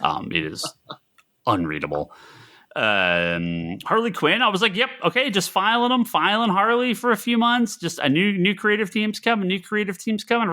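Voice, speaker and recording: A man's voice: high-pitched, sharp tone. A man co-hosting a casual podcast, talking in an exasperated, emphatic way, repeating himself and swearing. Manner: exasperated, emphatic, repetitive ranting with profanity. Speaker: podcast co-host. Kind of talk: casual comics podcast banter.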